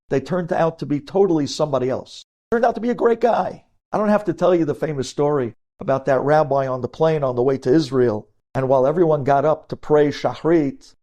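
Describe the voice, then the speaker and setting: A man's voice: a little high, warm tone. A man speaking as a rabbi giving a religious lecture, emphatic and direct, putting rhetorical questions to his listeners and using Hebrew religious terms. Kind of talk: sermon-like lecture with storytelling and acted-out dialogue.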